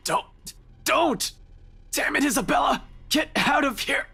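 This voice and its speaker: A man voicing a protective young man in a horror game, urgent and strained, with a husky voice. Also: very high voice, animated, a little slow, pushed and bright, faint music behind, exaggerated voice. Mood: desperate. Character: desperate, protective young man urging his friend to safety. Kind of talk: dramatic video game voice acting.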